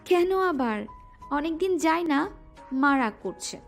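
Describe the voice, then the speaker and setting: A woman's voice: high-pitched, lively intonation, slow, vocal fry, heavily acted. A female voice actor playing a shy, teasing young wife in an audio romance drama. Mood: scolding. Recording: music bed underneath.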